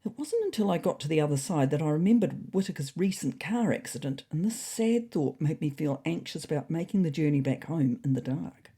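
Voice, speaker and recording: A woman's voice: low voice, lively intonation, fairly quick, few pauses, mellow, husky, heavily acted. A woman voicing a fussy, anxious male cat who narrates his comic diary in a prim, wordy, faintly indignant way.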